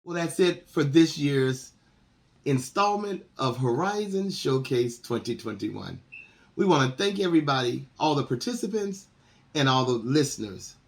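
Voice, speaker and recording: A man's voice: medium pitch, lively intonation, measured pace, gravelly. A man hosting an event, making announcements and thanking participants. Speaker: event host.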